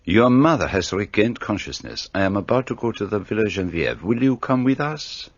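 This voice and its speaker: A man's voice: on the low side, sing-song, a little fast, no echo, muffled recording. An actor playing a fussy, precise older male detective, formal and self-assured in a radio play. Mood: courteous.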